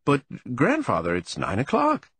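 A man's voice: mid-range voice, huge pitch swings, moderate pace, a bit bright, slightly rough, dry recording, voice pushed up, exaggerated voice. Man as a timid, stammering mouse. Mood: nervous protest. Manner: stammering, mumbling. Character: timid, flustered male newspaper-editor mouse, easily cowed.

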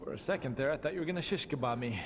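An actor playing a cocky, groggy middle-aged demon hunter with a wry, casual manner in a video game cutscene. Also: male voice, medium pitch, expressive, rapid-fire, noisy background, lightly acted.

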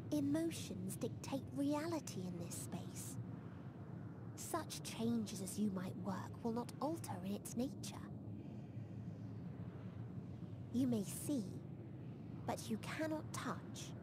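Otherworldly girlish entity for a fantasy game: higher-pitched, very slow, bleak and detached, under heavy ambient noise. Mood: cold, dismissive. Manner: slow, deliberate, detached, archaic phrasing. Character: otherworldly small girlish being, cold, despairing, detached. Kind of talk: video game cutscene acting.

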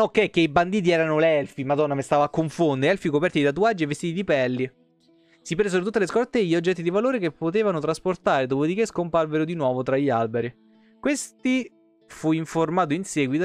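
A man, reading game lore aloud and breaking off for informal asides as a live streamer. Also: a little high. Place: indoor home streaming setup. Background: soft plucked guitar game music.

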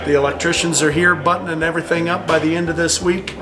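A man's voice: on the high side, steady tone, fast. A man giving a plain, matter-of-fact update on how the construction is going. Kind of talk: casual progress update. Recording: distant, echoey voice with music behind.

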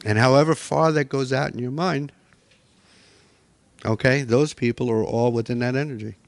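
A man's voice: mid-range voice, moderate pace, no echo. A middle-aged man presenting a workshop in a hotel meeting room, coaching people step by step.

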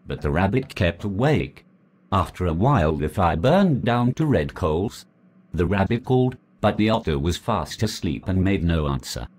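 A man reading a folk legend aloud as a storyteller, in a measured, deliberate way. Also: low voice, a little mellow, breathy edge.

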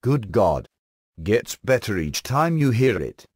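A man's voice: fairly low pitch, sing-song, slow pace, darkish tone, soft background music. A British man narrating a scripted comic review as the host, in a sardonic, dry-humoured manner.

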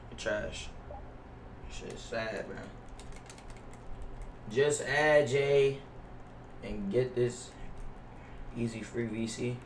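Young male streamer, casual and complaining with lots of swearing; middle-pitched, roomy distant mic with background noise. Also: fairly even intonation, extremely slow, long pauses. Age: young adult. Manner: slangy, profane, complaining. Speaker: livestreamer playing a basketball video game. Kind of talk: casual live stream chat and gameplay reaction. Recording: roomy echo, off-mic, noisy with keyboard clicks.

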